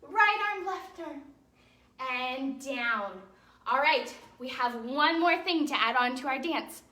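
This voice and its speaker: A young woman teaches a children's dance class in a high, bright voice. She gives simple, encouraging instructions and counts out the moves. The recording is roomy, with her voice some way from the microphone. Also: expressive, takes it slowly. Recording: roomy echo, distant microphone.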